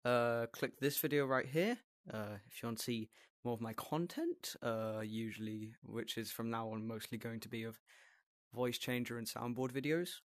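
Male tutorial host, medium pitch, casual step-by-step delivery, very little room sound. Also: normal speed, dry recording, clean studio sound.

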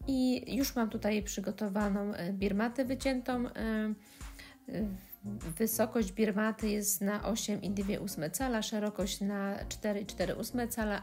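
A woman talking through a step-by-step scrapbooking tutorial, pausing often with filler sounds. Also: medium pitch, darkish tone. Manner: hesitant, many filler sounds. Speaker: crafting tutorial host. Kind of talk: step-by-step craft tutorial voice-over.

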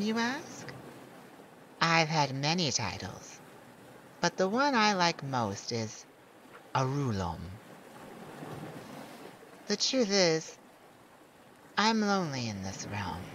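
Performer playing a slow, swooping, theatrical male siren. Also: mid-range voice, poor audio. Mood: softened, curious, then wistful. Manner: theatrical, unhurried, teasing, addressing one listener. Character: proud, lonely male siren of the sea. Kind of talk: scripted ASMR roleplay. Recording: steady background noise of beach ambience. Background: beach and ocean sounds.

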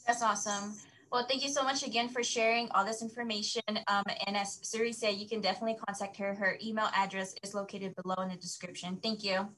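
A woman's voice: medium pitch, level tone, fast, pushed and bright, rough recording. Young woman hosting on a webcam. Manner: friendly, reads introductions. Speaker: host. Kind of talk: moderating a career panel. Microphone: video call webcam.

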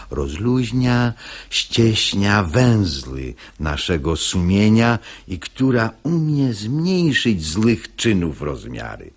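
Deep, husky, sly pious seducer. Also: male voice, heavily acted. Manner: unctuous, persuasive, theatrical verse delivery. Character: sly hypocritical pious seducer, smooth-talking male schemer. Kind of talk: classical stage comedy radio acting in verse.

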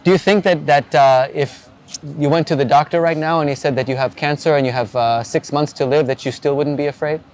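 A man's voice: fairly high pitch, steady tone, a little fast, darkish tone. Man, 40s, street interviewer, earnest and probing, outdoors, faint ambient noise. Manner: earnest, probing questions, frequent restarts. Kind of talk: street interview about faith. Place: outdoors by the ocean.

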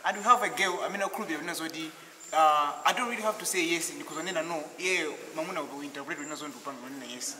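A man's voice: on the high side, rapid-fire, sharp tone. A young man with a Malawian accent arguing in a group discussion, switching between languages. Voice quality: code-switching talk. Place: indoor hall at night. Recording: echoey hall with faint noise.

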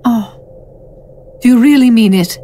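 A woman playing a sly female friend who dabbles in spells, coaxing and drawn-out, in an audio drama. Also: a little high, mellow. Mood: weak, shaken.

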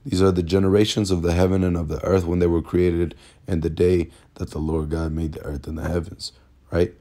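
Sick-sounding man, raspy and hoarse, deep voice, stumbles and repeats himself while teaching scripture. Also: flat delivery, mellow. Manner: halting, repeats words, many fillers. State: hoarse from illness. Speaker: religious teacher. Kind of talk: informal bible lesson over screen recording. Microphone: phone screen recording.

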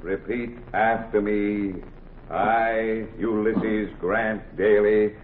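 An actor playing a solemn officiant in a 1940s radio drama, ceremonial and measured phrase by phrase. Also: male voice, fairly low pitch, flat delivery, phone-quality sound. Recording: thin, hissy 1940s radio recording.

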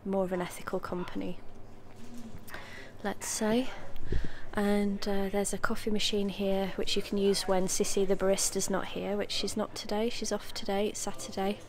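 Croaky-voiced woman half whispering a slow café tour, phone mic, people chatting nearby. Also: mid-range voice, flat delivery. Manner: slow, gentle, partly whispered. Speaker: ASMR vlogger giving a tour. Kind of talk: soft-spoken whispered tour. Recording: background chatter from a nearby meeting.

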